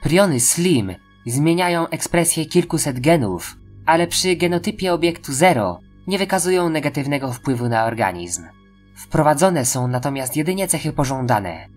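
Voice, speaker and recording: An audio drama scientist played by a woman with a strikingly low female voice. She reports to her superiors in a formal, clinical and deferential way. Music plays faintly underneath. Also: animated, moderate pace, a little mellow. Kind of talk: acted scientific briefing in a Polish audio drama.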